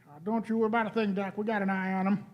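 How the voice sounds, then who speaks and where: A woman's voice: mid-range voice, little pitch movement, rapid-fire, muffled recording, close to an everyday voice. A woman playing a plucky, informal young time-travelling companion in a radio play, talking casually and colloquially. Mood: reassuring. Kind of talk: amateur radio play performed live.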